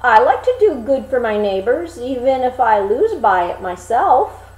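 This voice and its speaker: A woman's voice: a little high, big pitch swings, a little slow, darkish tone, reverberant, rough recording. A woman voicing an obliging, sly shepherd in a storybook, speaking in a neighborly, self-effacing way. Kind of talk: storybook character reading.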